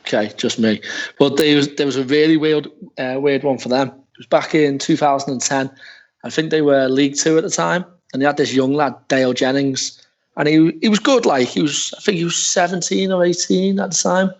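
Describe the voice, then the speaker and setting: A man's voice: on the high side, brisk. A man on a podcast panel telling a football story casually, swearing now and then. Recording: dull lo-fi podcast audio.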